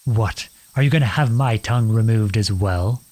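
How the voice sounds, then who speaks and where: A man playing a defiant young outlawed prince in a dramatic audio roleplay, speaking earnestly and deliberately in a husky voice. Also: on the low side, a little mellow, natural voice. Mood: bitter, defiant. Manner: earnest, deliberate, pleading and accusing. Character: defiant outlawed young prince confronting his cruel king father.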